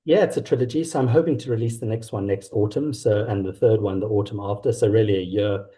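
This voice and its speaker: A middle-aged South African man talking reflectively and haltingly as an author being interviewed. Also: on the low side, dark tone. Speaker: author guest. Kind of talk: conversational interview about own work.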